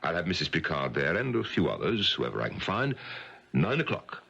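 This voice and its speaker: An actor playing a blunt, skeptical, wealthy banker in a radio drama, with a gravelly voice and a pompous, commanding manner. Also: male voice, low-pitched, very animated, fairly quick, loud music behind the voice, exaggerated voice. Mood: brusque, imperious. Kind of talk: 1970s radio drama acting. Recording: dull 1970s radio broadcast.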